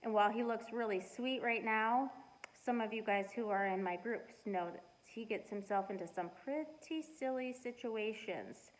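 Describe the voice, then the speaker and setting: A middle-aged woman reading a storybook aloud and chatting to children in an expressive, deliberate way. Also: a little high, poor audio. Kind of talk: storybook read-aloud and casual chat to children. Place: living room at home.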